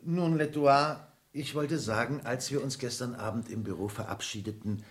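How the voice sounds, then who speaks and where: An actor in a German radio play, playing a stern, principled office colleague who talks in a formal, deliberate, insistent way. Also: male voice, medium pitch, slight background noise, lightly acted. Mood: measured, accusing.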